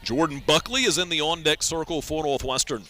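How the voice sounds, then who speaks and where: A man's voice: fairly high pitch, brisk, pushed and bright. A man doing live radio baseball play-by-play, describing the action and chatting conversationally.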